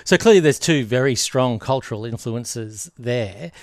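A man interviewing a guest with calm, brief questions. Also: medium pitch, unhurried, no room sound, clean studio sound.

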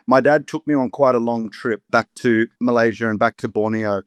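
Australian male guest, 30s, relaxed anecdotal talker, mid pitch, dry studio sound. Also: normal speed, clear, resonant tone, no echo. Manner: warm storyteller, chatty with many "you know" asides. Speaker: guest, poet and rapper. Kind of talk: relaxed reflective interview talk.

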